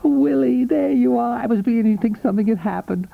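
A man's voice: very high voice, quick pace, vocal fry, dry recording, voice pushed up, heavily acted. A man voicing a gushing, clingy young girlfriend in a breathless, exclaiming way for a story reading. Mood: gushing relief. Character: gushing, clingy young girlfriend greeting her older lover. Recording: dull, band-limited audiobook recording.

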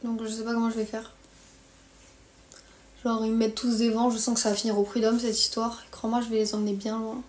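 A woman's voice: fairly high pitch, monotone, a little fast, pauses often, darkish tone. A woman in her early twenties from France with a French accent, chatting casually on a livestream in a blunt, slangy, griping way. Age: early 20s. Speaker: live streamer. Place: small bedroom, indoors. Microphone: phone at arm's length. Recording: roomy echo on phone microphone.

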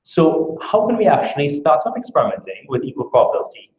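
Fast-talking Trinidadian man giving a talk. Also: a little high, very animated, darkish tone. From Trinidad and Tobago. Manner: brisk, earnest, explaining with rhetorical questions. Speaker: academic speaker. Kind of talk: conference talk. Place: lecture room. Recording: echoey, dull room recording.

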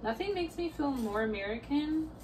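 A young woman chatting casually in her home kitchen, telling dramatic stories in an animated way. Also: sits high, moderate pace, faint music behind. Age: young adult. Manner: animated, dramatic storyteller who breaks into song. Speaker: vlogger. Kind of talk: casual storytelling chat. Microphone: phone camera propped on counter.

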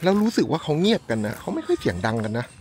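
A man's voice: on the high side, huge pitch swings, rapid-fire, a little mellow, soft background music. A middle-aged man chatting politely as he films a family camping vlog outdoors. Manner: chatty, polite "krap" endings, narrating to camera. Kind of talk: casual vlog chat. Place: outdoor campground.

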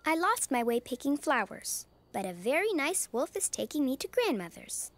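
A woman's voice: sits high, sing-song, moderate pace. A woman playing a trusting, innocent young girl in a children's cartoon, earnest and singsong. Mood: innocent, chatty. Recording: music behind the voice.

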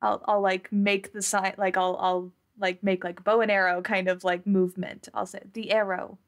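A woman's voice: medium pitch, flat delivery, normal speed. Woman player narrating her moves. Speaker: tabletop game player. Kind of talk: casual improvised roleplay narration.

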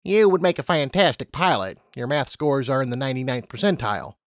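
A man's voice: mid-range voice, expressive, fairly quick, no room sound, clean studio sound, close to an everyday voice. A man voicing a stern, disdainful aging female unicorn personnel officer in a storybook reading, formal and condescending. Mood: matter-of-fact.

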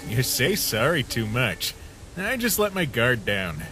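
A man's voice: medium pitch, sing-song, a little slow, bright, forceful tone, slight background noise, rough recording. A man voicing a laid-back, wry skeleton who jokes casually in a comic dub. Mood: laid-back, joking. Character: laid-back joking male skeleton monster. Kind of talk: comic dub character acting.

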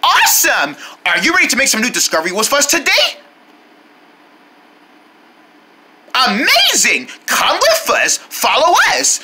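A woman voicing a sweet, excitable young kindergartner in a children's cartoon, exclaiming in a sing-song way to young viewers. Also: on the high side, a little fast, a little hiss or hum, heavily acted. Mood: cheerful greeting. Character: excitable, sweet young kindergartner, friendly kids' show character.

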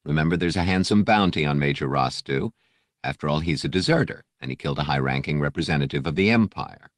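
A man's voice: extremely low pitch, lively intonation, quick pace, no echo, studio quality. A man voicing a stocky space smuggler in an audiobook, polite and well-spoken, with a pleasant, coolly amiable tone. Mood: pleasant, composed. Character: genteel, amiable, stocky male smuggler bounty hunter.

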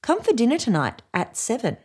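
A woman's voice: on the high side, huge pitch swings, fairly quick, husky, pitched above the performer's own voice, exaggerated voice. Woman voicing an insistent, sing-song little storybook girl. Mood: sly, sweet. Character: little schoolgirl, sly, earnest, insistent about her monster.

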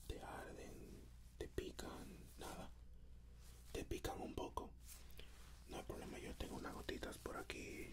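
A man in his thirties whispers softly and breathily in an ASMR medical exam roleplay, gently giving reassuring, step-by-step instructions as a doctor. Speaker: ASMR performer playing a doctor. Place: indoors, dark backdrop. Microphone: close microphone.

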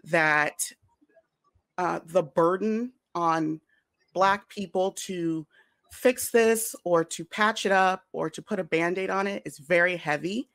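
A woman speaking deliberately and supportively as a panelist in an online discussion. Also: lowish voice, a bit bright, dry recording. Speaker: podcast panelist. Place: room at home, on a video call.

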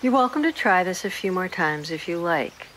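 Soft-voiced woman guiding a meditation. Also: fairly low pitch, animated, slight background noise, rough recording. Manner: slow, soothing, gentle pauses. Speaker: meditation guide. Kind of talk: guided meditation narration.

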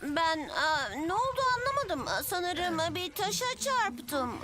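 A woman voicing an eager, boastful little boy motorbike in an animated children's cartoon, excitable and hesitant when flustered. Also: very high-pitched, unhurried, heavily acted. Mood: flustered, defensive.

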